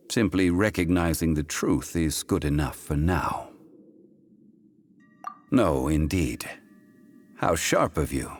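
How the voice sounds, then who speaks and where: Very deep, solemn, ancient man. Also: a little hiss or hum, exaggerated voice. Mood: calm, reflective. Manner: formal, measured, grave. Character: ancient, calm, solemn male god in human guise. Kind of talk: video game voice acting, measured storytelling.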